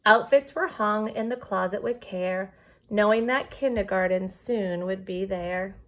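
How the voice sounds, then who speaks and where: A woman's voice: mid-range voice, unhurried, a bit bright. A woman who teaches kindergarten reads a children's picture book aloud expressively, adding chatty asides to young listeners.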